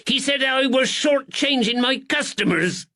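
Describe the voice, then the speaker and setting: A man's voice: expressive, measured pace, bright, forceful tone, heavily acted. A man voicing a nervous, weaselly middle-aged male draper who stammers and whines plaintively. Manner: stammering, plaintive, rising to shrill.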